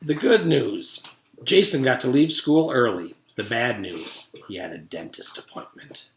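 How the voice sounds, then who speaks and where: A man's voice: mid-range voice, measured pace. A man in his forties, a teacher, reading a children's story aloud with casual recaps. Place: room at home. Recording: roomy echo, background noise.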